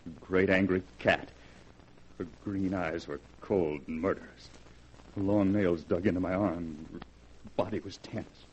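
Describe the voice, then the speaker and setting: A bitter, haunted husband in an old radio horror drama. His voice is on the low side, grave and dramatic. Faint noise runs through the vintage recording. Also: fairly even intonation, measured pace, darkish tone, dull audio. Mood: haunted, brooding. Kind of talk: 1940s radio horror acting.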